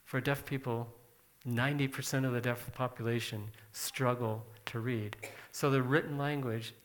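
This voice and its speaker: A man's voice: mid-range voice, fairly even intonation, moderate pace. Man explaining in a plain conversational way. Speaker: presenter. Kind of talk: casual presentation with slides.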